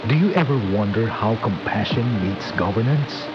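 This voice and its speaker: A man's voice: on the low side, lively intonation, moderate pace. A man reading scripted promos as a radio announcer. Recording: dull AM radio reception, loud music. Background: loud music.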